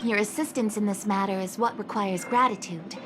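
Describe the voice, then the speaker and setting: An actress voicing a careful woman technician who talks in a polite, measured and cautious way, in a video game dub. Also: medium pitch, fairly even intonation, noisy background, lightly acted. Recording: game cutscene with music behind.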